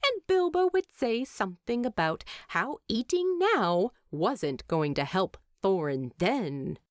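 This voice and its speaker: Unhurried, expressive woman storyteller. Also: medium pitch, no room sound, clean studio sound. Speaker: narrator. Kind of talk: scripted story narration, expressive.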